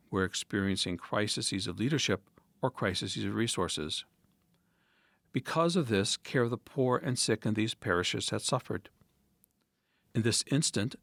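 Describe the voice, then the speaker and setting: Measured, low-voiced man reading an essay. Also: fairly bright tone, no echo. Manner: measured, deliberate, formal. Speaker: priest and essayist.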